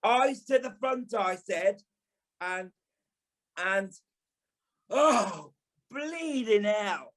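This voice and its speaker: An actor playing a camp, sharp-tongued British dance teacher and former stage dancer in an audio play, theatrical and sarcastic. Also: male voice, sits high, lively intonation, drawn-out words, pauses often, full character voice. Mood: exasperated, bossy. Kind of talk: audio play monologue and dialogue.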